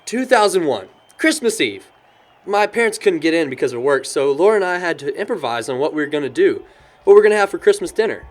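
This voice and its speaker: A man's voice: fairly high pitch, quick pace. A young man with an American accent playing a sentimental, good-natured guy in an audio drama. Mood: fond, nostalgic. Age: young adult. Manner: chuckles often, animated storyteller. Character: cheerful young man, sentimental but worried about his sister.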